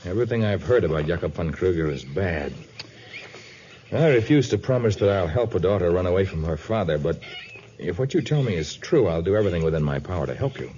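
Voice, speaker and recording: An actor playing a calm, principled jungle hero with a measured, formal manner in a 1950s radio drama. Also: male voice, low voice. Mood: firm, measured. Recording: dull, hissy 1950s radio recording.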